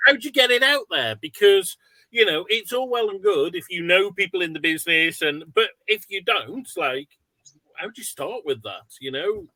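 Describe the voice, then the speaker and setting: A man's voice: sits high, lively intonation, normal speed, pushed and bright, no echo, poor audio. A man hosting a webinar, putting questions to his guest in an informal, chatty way. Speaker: webinar host. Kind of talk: casual interviewing, relaying audience questions.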